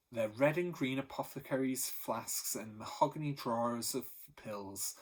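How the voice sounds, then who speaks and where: A male teacher reading a children's storybook chapter aloud, steady and expressive, lifting his voice for the characters. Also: mid-range voice, husky, rough recording.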